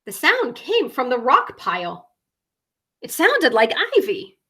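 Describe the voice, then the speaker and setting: Bright, high-pitched woman reading children's stories. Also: huge pitch swings. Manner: dramatic, deliberate enunciation with emphasized sound words. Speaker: storyteller reading a picture book to children. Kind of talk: expressive children's story reading.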